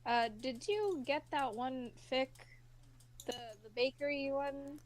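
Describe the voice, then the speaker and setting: A woman's voice: high voice, takes it slowly, poor audio. A woman chatting casually with friends on a voice call. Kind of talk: casual chat among friends. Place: online voice call.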